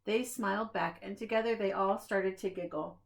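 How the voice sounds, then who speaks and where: A woman reading a children's picture book aloud in an expressive, deliberate storytelling manner, as if to young listeners. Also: medium pitch, vocal fry. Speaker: preschool staff reader.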